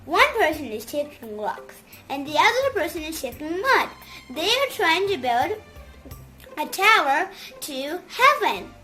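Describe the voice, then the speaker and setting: Very high, childlike voice hosting a kids' show, female. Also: very animated, measured pace, pushed and bright, soft background music, poor audio. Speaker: puppet show host for children. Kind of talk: children's puppet show presenting.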